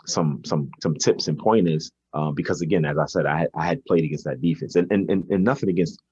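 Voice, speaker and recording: A man's voice: very deep voice, brisk, a little mellow, breathy edge, no echo, lo-fi sound. A man, a basketball coach answering interview questions conversationally, hesitating and repeating words as he thinks. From Brooklyn, New York, United States. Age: 40s.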